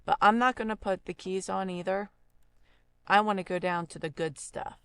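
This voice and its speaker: A woman hosting an informal software tutorial, thinking aloud in an unhurried way as she works. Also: medium pitch, steady tone, smooth, no echo. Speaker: tutorial host, voice-over artist.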